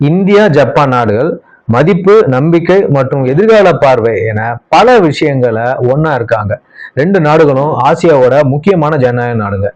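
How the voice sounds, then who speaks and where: A man's voice: on the high side, darkish tone. News explainer man, slightly muffled sound. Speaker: news presenter on a geopolitics channel.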